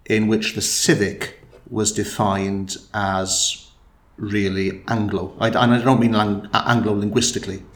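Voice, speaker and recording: A man in a conversational discussion, thinking aloud with frequent restarts and fillers. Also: lowish voice. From Wales. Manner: thoughtful, halting, many restarts and fillers. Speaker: interviewee.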